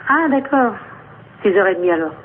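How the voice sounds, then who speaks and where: A woman's voice: medium pitch, animated, a little fast. A middle-aged woman in a casual phone conversation, answering in an obliging, matter-of-fact way. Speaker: member of the public receiving a prank call. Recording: tinny phone line with faint noise.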